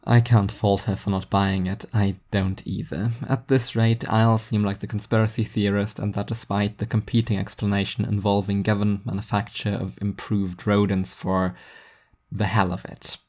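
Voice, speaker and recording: A man's voice: on the low side, level tone, moderate pace, darkish tone. A man reading first-person fiction narration in an introspective, wry manner.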